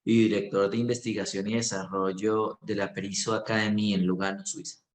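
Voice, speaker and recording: A middle-aged Colombian doctor with a Bogotá accent, speaking earnestly and reflectively in an interview, with many fillers and restarts. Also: male voice, on the low side, flat delivery, fairly quick. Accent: Colombian Spanish (Bogotá). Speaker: physician and research director. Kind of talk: promotional interview monologue. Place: clinic. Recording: echoey room.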